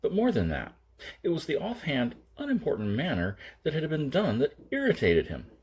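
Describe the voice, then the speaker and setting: A man's voice: on the high side, huge pitch swings, normal speed, darkish tone, breathy edge. A man reading a story aloud as an audiobook narrator, in a dramatic storytelling style.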